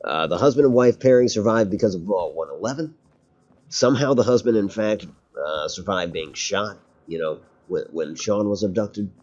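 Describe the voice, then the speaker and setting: A man's voice: on the low side, expressive, normal speed, darkish tone, highs cut off. A man streaming a game, chatting casually, rambling and laughing often. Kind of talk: casual gameplay chat.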